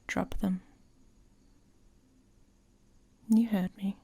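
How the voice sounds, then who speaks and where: A woman playing a dominant, teasing but protective female werewolf in intimate ASMR roleplay, in a breathy, husky voice that often drops to a whisper. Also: mid-range voice, level tone, warm tone. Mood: teasing, commanding. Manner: slow, teasing, commanding.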